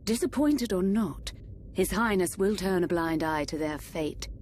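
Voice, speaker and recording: A haughty consort, scheming and cold. She speaks low and slowly with disdain, a villain of a fantasy game, over faint noise. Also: expressive, darkish tone. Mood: cold contempt. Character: haughty, scheming, bigoted royal consort, mature woman. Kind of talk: fantasy video game acting.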